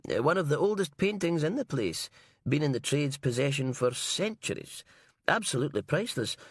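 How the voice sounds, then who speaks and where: A man's voice: a little high, sing-song, moderate pace, breathy edge, no echo, studio quality, voice pushed up. A man reading an audiobook, voicing an eager, chatty elderly man with a wheezy edge. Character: chatty elderly male hall caretaker, eager.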